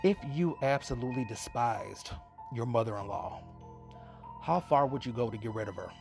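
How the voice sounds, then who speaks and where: A man's voice: mid-range voice, animated, normal speed, creaky. A man hosting a radio play show, giving a conversational introduction. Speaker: podcast host. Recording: muffled voice over prominent music.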